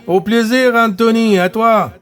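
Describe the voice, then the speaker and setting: A man's voice: extremely high pitch, fairly even intonation, unhurried, a bit bright, faint music behind. A man with a Quebec French accent chatting casually as he runs a CB radio round, repeating himself as he relays. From Quebec, Canada. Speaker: CB radio operator running the round.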